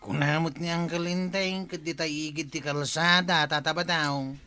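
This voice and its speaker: Ilocano village workman, slightly high, forceful voice, radio comedy with music behind. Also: steady tone, normal speed, clear, resonant tone. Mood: objecting.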